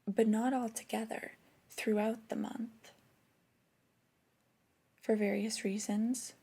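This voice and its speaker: Woman as flirty, disappointed boss for ASMR roleplay. Breathy, whispery, creaky low register in delivery, intimate reprimands. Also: little pitch movement, mellow, full character voice. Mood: reproachful, skeptical. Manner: slow, deliberate, intimate reprimanding. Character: flirty, disappointed female store boss scolding an employee. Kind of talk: soft-spoken ASMR roleplay.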